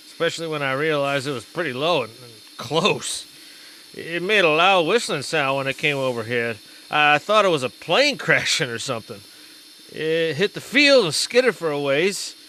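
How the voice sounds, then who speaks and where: Man acting bright, high-pitched local eyewitness. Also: slight background noise. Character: plain-spoken local male eyewitness, a little rattled. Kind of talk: radio drama acting as witness interview.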